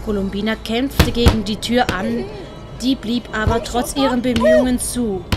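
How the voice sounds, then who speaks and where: High-voiced Swiss woman narrating. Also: steady tone, normal speed. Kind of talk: scripted audiobook narration. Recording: background music.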